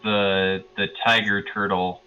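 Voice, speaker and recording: A man's voice: fairly low pitch, level tone, takes it slowly, sharp tone, muffled recording, slight background noise. A man talking casually as a tabletop game player, questioning rules in hesitant, piecemeal phrases.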